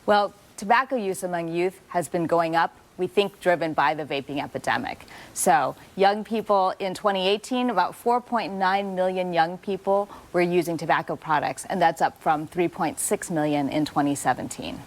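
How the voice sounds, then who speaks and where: A woman doctor, a guest expert on a TV segment, explaining things in a calm, clear, explanatory manner. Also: fairly low pitch, normal speed. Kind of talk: expert interview.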